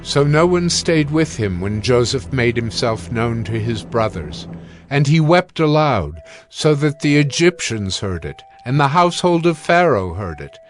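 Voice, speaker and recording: A man narrating scripture in a measured, solemn reading. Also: mid-range voice, sing-song, soft background music.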